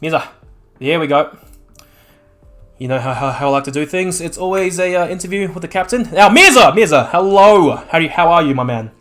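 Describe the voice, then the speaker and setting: A man working as an esports caster and interviewer, with a slightly croaky voice and an excitable way of talking. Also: on the high side, fairly quick. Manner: excitable, exclaiming at big plays. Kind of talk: live game commentary and post-match interview.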